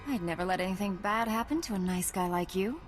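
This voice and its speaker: A woman's voice: medium pitch, lively intonation, fast, faint music behind, lightly acted. An actress voicing a resolute, self-assured female Jedi Knight in a video game, cool in manner. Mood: playful, confident.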